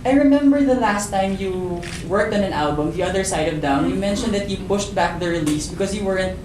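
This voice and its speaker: A man's voice: high-pitched, fairly quick. Careful male reporter at a press event; distant mic in an echoey hall. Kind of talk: press conference questions. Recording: echoey distant room, noisy.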